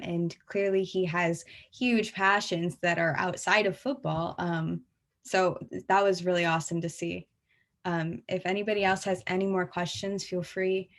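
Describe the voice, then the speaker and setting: A woman moderating a question-and-answer session, speaking in a relaxed way with many fillers. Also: fairly low pitch. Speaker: student moderator.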